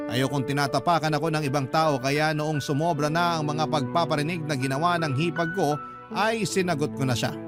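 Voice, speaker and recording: Male radio host, slightly high voice, earnest letter narration, measured, music bed. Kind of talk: dramatic first-person letter reading. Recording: clear music bed under voice.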